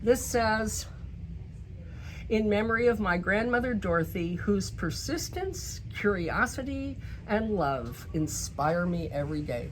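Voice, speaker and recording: A woman reads a picture book aloud to children, pausing for emphasis and speaking directly to her young listeners. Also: mid-range voice, expressive, slight background noise, poor audio. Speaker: children's story reader. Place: bookstore in a shopping center.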